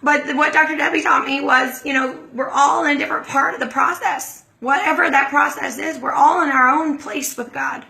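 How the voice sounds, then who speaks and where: Hoarse woman chatting on a livestream. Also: high voice, steady tone, normal speed, few pauses, pushed and bright, low-quality recording. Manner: chatty, greets viewers by name. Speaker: livestream host. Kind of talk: casual livestream talk to viewers.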